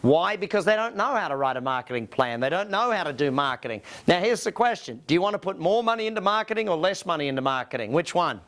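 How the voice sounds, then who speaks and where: Male business coach, Australian, voice on the higher side, emphatic seminar presenter who works the audience with questions. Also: big pitch swings, quick pace, hardly any pauses, a bit bright. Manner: emphatic, rhetorical questions to listeners.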